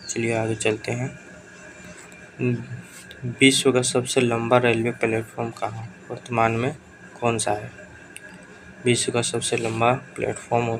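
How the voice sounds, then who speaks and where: Gravelly man with a sore throat, flat delivery. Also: mid-range voice, background noise. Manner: brisk, urges viewers to answer quickly. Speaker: teacher. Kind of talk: quiz-style exam prep lesson.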